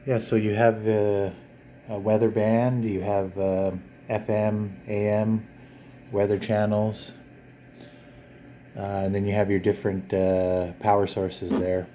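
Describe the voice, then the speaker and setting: A man with a fairly low voice talks viewers through an unboxing in a casual, unscripted way. His delivery is halting, with pauses as he goes. Some background noise sits behind the voice. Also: level tone, dark tone. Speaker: video channel host.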